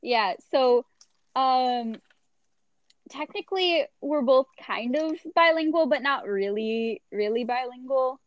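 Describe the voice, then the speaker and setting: A woman's voice: sits high, takes it slowly, dry recording, muffled recording. A young woman co-hosting a podcast, chatting casually and rambling with lots of fillers. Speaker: podcast co-host. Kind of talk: casual chat.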